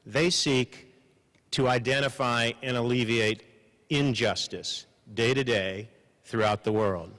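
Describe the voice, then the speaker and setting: A man moderating a panel, giving formal introductions with dry humor, measured and pausing for emphasis. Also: medium pitch, fairly bright tone. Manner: measured, slows for emphasis, frequent uh fillers. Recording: long hall reverb.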